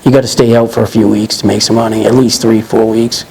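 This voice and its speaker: A man in his forties with a Boston accent, a truck driver chatting casually in an interview, relaxed and rambling with lots of "you know". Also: fairly low pitch, quick pace, vocal fry, low-quality recording. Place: office lounge, indoors.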